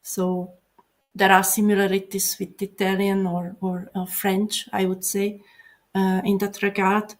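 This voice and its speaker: A woman speaking English as an interview guest. She talks haltingly, with many filler pauses. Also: medium pitch, flat delivery. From Hungary. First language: Hungarian. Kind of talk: casual interview answers.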